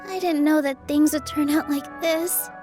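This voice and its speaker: A woman voicing a gentle, shy young dancer who sounds soft-spoken and unsure of herself, in a video game with music behind her. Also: high-pitched, fairly even intonation, a little slow. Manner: soft-spoken and self-doubting.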